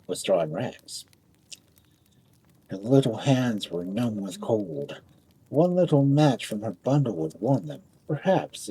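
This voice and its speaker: A man tells a fairy tale in a very husky, breathy voice with a soft dark tone. His reading is dramatic and expressive, swinging widely in pitch and drawing out phrases for effect. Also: low-quality recording. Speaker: storyteller.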